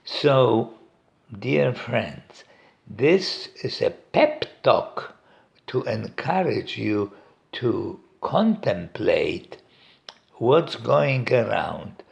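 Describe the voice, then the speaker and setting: A man with a Polish accent talks in a very slow, unhurried way, his low voice full of gravelly fry. His pitch swings widely as he muses aloud, pausing, restarting and chuckling to himself. He sounds like he is giving a reflective talk, recorded at home on his own device. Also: big pitch swings. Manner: slow, halting, pauses and restarts, chuckles often. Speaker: meditation teacher. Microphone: recording device set up by himself.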